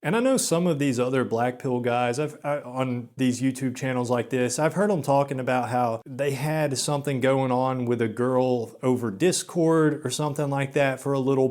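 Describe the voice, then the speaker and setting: A young man with a Southern US accent in a gloomy, self-deprecating monologue, talking slowly and flatly with filler words and stammers. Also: mid-range voice. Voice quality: slow, monotone delivery with filler words and stammers. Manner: gloomy, repetitive, resigned. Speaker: video essayist.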